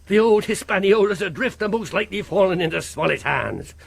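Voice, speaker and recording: A creaky-voiced, wily pirate ringleader. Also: male voice, sits high, lively intonation, a little fast, no echo, heavily acted. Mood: argumentative, scornful. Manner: drawling, wheedling, rhetorical taunts. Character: wily pirate ringleader, sly, taunting, commanding. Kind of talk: radio drama acting.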